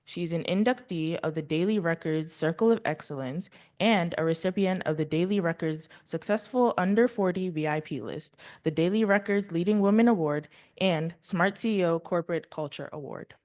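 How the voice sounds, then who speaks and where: A woman's voice: fairly low pitch, normal speed, a little mellow. A woman introducing panelists at a university event, reading formal biographies with a few small stumbles.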